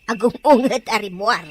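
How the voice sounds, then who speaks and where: A woman acts a cheeky young household helper in an audio drama. She teases in a high, slow drawl, with crickets faintly behind. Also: close to an everyday voice. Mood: teasing, gossipy. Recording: faint noise, night crickets and clicks.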